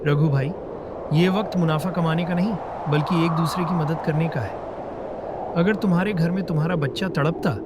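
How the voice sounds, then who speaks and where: A man voicing a humble, worried poor village father in an animated moral story. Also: a little high, moderate pace, close to an everyday voice. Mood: earnest. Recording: clear background noise.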